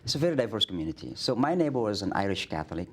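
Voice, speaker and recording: Middle-aged man, Indonesian accent, earnest guest storyteller, slightly low voice. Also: very fast. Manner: earnest, many restarts and fillers, grows animated. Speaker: imam, guest. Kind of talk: studio interview, storytelling. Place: TV studio.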